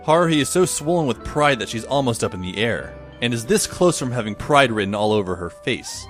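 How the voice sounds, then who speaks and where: Man as sardonic teen boy narrator, mid-pitched, animated intonation, scripted audio drama, faint music. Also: fairly quick, natural voice. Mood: sardonic, weary. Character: wry, put-upon teenage boy narrator.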